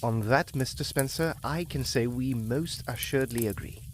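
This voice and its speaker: A man's voice: mid-range voice, moderate pace, slight background noise, close to an everyday voice. A man playing a polite, earnest young farmer asking a father for his daughter's hand, in an old-time radio drama. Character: earnest young farmer suitor, Walter Markham.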